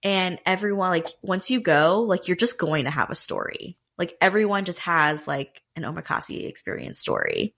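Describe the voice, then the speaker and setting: A woman from North Carolina co-hosting a podcast, chatty and animated, filling her talk with "like". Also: on the low side, moderate pace, creaky, few pauses. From United States (North Carolina). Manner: chatty, animated, heavy use of "like". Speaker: podcast co-host. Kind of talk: casual chat.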